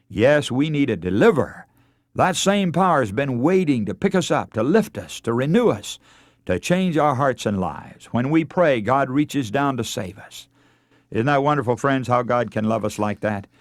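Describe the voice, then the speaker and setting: A man's voice: medium pitch, dry recording, clean studio sound. A man delivering a scripted radio sermon in an earnest, oratorical manner, speaking directly to his listeners. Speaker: radio preacher.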